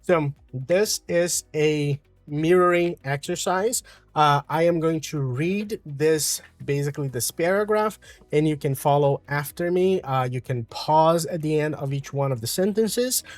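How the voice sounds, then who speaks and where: A man from Brazil with a Brazilian Portuguese accent, calmly explaining an English lesson with frequent fillers. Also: on the high side, a little slow, no room sound, faint music behind. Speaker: English teacher.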